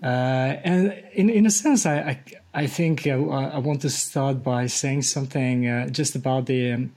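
A man from Bosnia hosts a conversational podcast interview, searching for words with frequent pauses. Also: mid-range voice, a little mellow. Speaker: podcast host.

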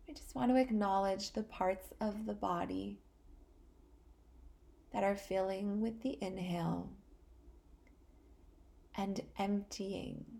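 A woman leads a guided meditation at a very unhurried pace. Her voice is soft-edged and mellow, with a creaky fry in it. She sounds calm and soothing, leaving long pauses between short phrases. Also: medium pitch. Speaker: guided meditation podcast host.

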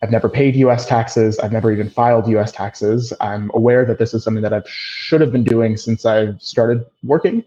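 Twenty-something guy from canada calling in, mellow voice, muffled lo-fi line. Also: medium pitch, steady tone, fairly quick, few pauses. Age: 20s. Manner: polite and orderly, with some fillers. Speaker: caller. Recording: dull lo-fi phone call.

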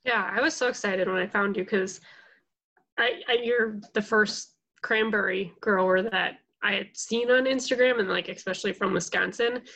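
A woman with a gravelly, fry-tinged voice chats in a relaxed, upbeat way. She co-hosts a casual podcast. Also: medium pitch, normal speed, rough recording. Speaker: podcast co-host. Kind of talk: casual chat.

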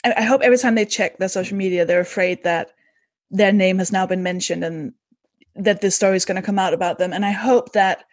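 A woman's voice: medium pitch, fairly even intonation, fairly quick, dry recording. A woman hosting a casual conversation, chatty, often restarting her sentences and laughing. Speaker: host.

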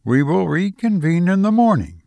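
A formal, impartial judge as a man reads him in an audiobook instructs with procedural authority. The voice is creaky and firm, recorded dry and close. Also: sits high, big pitch swings, moderate pace, dark tone, dry recording, pitched above the performer's own voice, full character voice. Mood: firm instruction.

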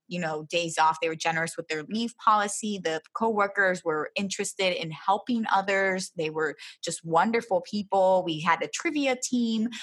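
Thirties female interview guest, chatty and rambling, bright tone with a husky edge. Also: mid-range voice. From Philadelphia, US. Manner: chatty, thinking aloud with fillers. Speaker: guest, travel blogger. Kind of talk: casual interview.

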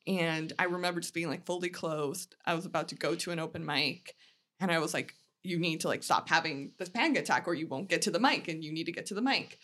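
A woman's voice: deep voice, quick pace, a bit bright. A woman in her thirties chatting candidly and casually as a comedian guest on a podcast, often saying "like". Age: 30s.

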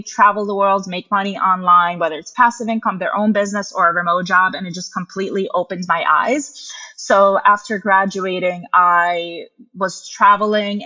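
A young adult woman from Russia, the guest on a podcast interview, talking through her story in a relaxed, earnest way with some fillers. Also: on the low side, muffled recording.

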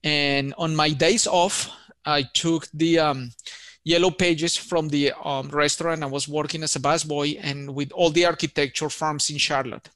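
A man's voice: fairly high pitch, steady tone, a little slow, a bit bright, clean tone, dry recording. Upbeat Colombian-accented man, dry sound. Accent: Colombian Spanish. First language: Spanish. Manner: friendly, enthusiastic, says man and you know often. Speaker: architect and podcast guest. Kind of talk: casual interview storytelling.

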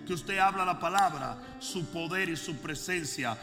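A man preaching as a bishop, moving from calm storytelling to fervent, shouted prayer. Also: on the high side, normal speed, in a large hall, music in the background. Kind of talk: sermon and prayer.

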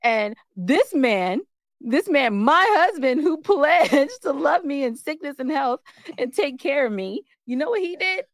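An American woman co-hosting a relationship podcast, bantering and telling stories in a candid, playful, animated way. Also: high-pitched, a little slow, dry recording, clean studio sound. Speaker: podcast co-host. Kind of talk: casual relationship banter and storytelling.

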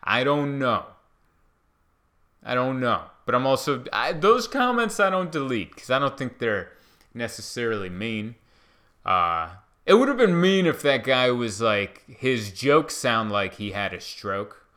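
A man in his thirties who grew up speaking Hungarian first, now talking in English with no foreign accent. His diction is slightly slurred and meandering, delivered in a bright, crisp tone at a middling pitch. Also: big pitch swings, unhurried. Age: 30s. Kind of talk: casual chat.

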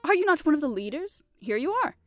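A woman voicing a cool gentleman adventurer in a storybook, affable, placid and mock-innocent. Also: high-pitched, very animated, quick pace, no echo, studio quality, voice pushed up. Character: cool, mischievous gentleman adventurer posing as a secretary.

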